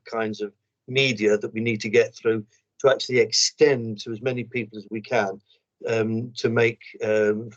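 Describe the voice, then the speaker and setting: Flat-voiced man chairing an online meeting. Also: fairly low pitch. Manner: halting, with repeated "I think". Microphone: video call. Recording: dull lo-fi video call audio.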